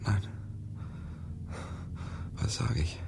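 An actor playing an anguished, devout man under interrogation in a radio drama, speaking in a halting, solemn whisper. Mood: anguished indignation. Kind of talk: literary radio drama acting.

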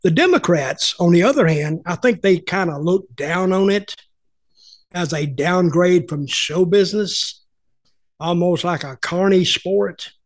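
A man's voice: sits high, a little slow, no echo. Older man telling rambling stories. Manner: rambling, folksy, opinionated. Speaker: podcast host and storyteller. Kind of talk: casual storytelling chat. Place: home room with lamp, video call.

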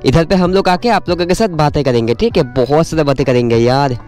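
A young man casually chatting to camera as a moto vlogger outdoors on an empty highway, teasing and laughing often. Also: fast talker, darkish tone, faint music behind. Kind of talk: casual vlog chat to camera.

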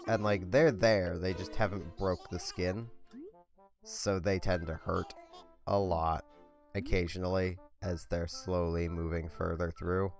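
A young man with a hoarse, scratchy voice from a sore throat, rambling casually while playing a game. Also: low-pitched, little pitch movement, unhurried. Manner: rambling, chatty. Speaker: let's play host. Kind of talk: casual gameplay commentary. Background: faint game music.

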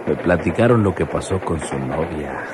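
Deep, slow, sneering Mexican bandit. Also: male voice. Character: mocking, brazen male bandit prisoner. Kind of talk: Mexican radio soap acting. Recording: noisy old radio drama, rumbling vehicle.

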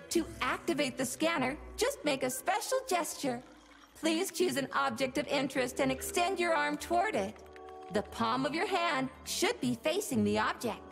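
Female robot guide, high pitch, heavy creak, courteous, formal, instructional, game announcement. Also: full character voice. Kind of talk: scripted video game announcements.

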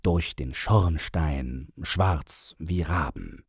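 A man narrating a storybook in verse as an audiobook reader, measured and with dramatic pauses. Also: a bass voice, vocal fry, slightly rough, no room sound, studio quality.

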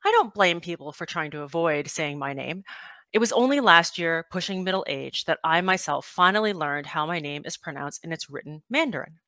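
A woman in her forties from Taiwan, reading her own personal essay aloud on a video call through a headset mic, with sudden lifts in pitch. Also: lively intonation, fairly quick, pushed and bright, no echo. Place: home room with bookshelves, indoors.

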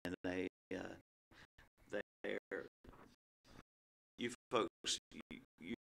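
A man's voice: sits low, fairly even intonation, drawn-out words, pushed and bright, poor audio. A middle-aged man hosting a local community talk show, asking a guest questions in a hesitant manner full of restarts. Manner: gravelly, hesitant, stumbling restarts. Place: small office meeting room, indoors. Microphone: table microphone, far camera.

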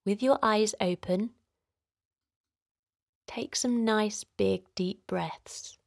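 A woman gently guiding a children's relaxation in a soothing, encouraging way, her voice softly breathy and hushed at times. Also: mid-range voice, extremely slow, no room sound, studio quality. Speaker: host of children's guided relaxation. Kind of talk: guided meditation narration for children.

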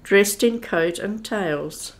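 A woman narrates a radio play in a measured, descriptive way. She takes her time, at a medium pitch. The room echoes noticeably around her.

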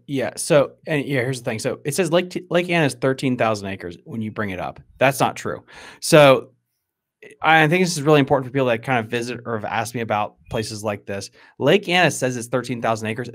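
A man's voice: mid-range voice, lively intonation, moderate pace. Emphatic man hosting a podcast. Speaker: podcast host. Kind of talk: casual podcast chat. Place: indoor room at a desk, on a video call. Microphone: close boom-arm podcast microphone.

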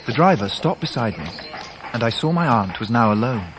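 An actor playing a grown English gentleman narrating his own youth in a measured, literary radio drama style. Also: medium pitch, lively intonation, noisy background, close to an everyday voice. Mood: reflective. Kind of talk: scripted first-person drama narration.

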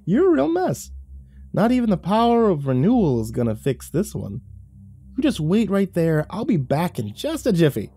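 A squeaky crude talking squirrel. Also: male voice, huge pitch swings, normal speed, warm tone, soft background music, full character voice. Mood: mocking. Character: foul-mouthed excitable male squirrel, tiny and squeaky.

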